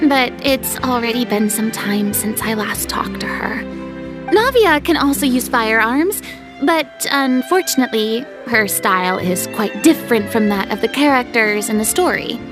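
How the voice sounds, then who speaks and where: A woman's voice: on the high side, animated, moderate pace, music in the background, natural voice. A woman playing a proud, theatrical young star turned film director in a video game, haughty and self-important. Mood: businesslike, pensive.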